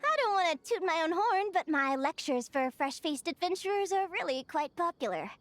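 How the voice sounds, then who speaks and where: High, lilting young adventurer girl. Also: normal speed, dry recording. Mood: excited, proud. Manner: expressive, earnest, chatty, with small hesitations. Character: cheerful young female naturalist adventurer, eager storyteller. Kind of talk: animated video game character dialogue. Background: background music.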